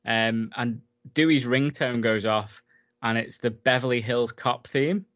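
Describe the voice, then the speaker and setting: A man's voice: mid-range voice, measured pace, fairly bright tone, dull audio. A man in a casual film recap chat, enthusiastic and talkative, laughing often as he goes. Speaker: podcast co-host.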